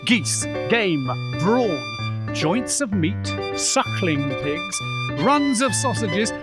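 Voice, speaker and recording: A man narrating a radio play as dramatic, deliberate storytelling with suspenseful pauses. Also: sits high, big pitch swings, over loud music, exaggerated voice. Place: live stage performance in a church.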